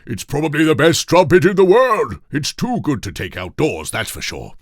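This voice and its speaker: Storybook weasel king: loud, raised pitch, grandly proclaiming, blustering, utterly sure of himself. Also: sing-song, normal speed, no echo, studio quality. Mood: indignant, boastful. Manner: grand, loud proclaiming, firmly self-assured. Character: pompous, blustering weasel king and royal trumpeter.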